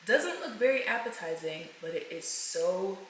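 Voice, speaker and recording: Woman, 30s, chatty vlogger voice, bright and forward, light background hiss. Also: mid-range voice, sing-song, moderate pace, rough recording. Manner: chatty, addresses viewers as y'all and folks. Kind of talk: casual vlog chat to camera. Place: home kitchen, then a car.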